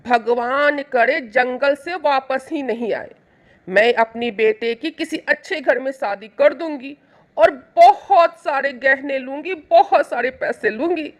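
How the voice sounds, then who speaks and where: Woman acting a shrill, scolding Indian-story mother-in-law. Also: slow pace. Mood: greedy glee. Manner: shrill, nagging, accusing. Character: scolding, greedy, cruel middle-aged mother-in-law. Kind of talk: Hindi moral storybook acting.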